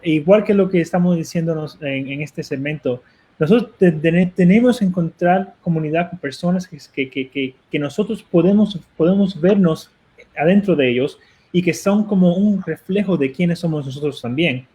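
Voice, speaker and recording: A man from Cuba with a Cuban Spanish accent, a pastor speaking earnestly as a talk show guest, halting with many restarts as he searches for words. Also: fairly high pitch, dark tone.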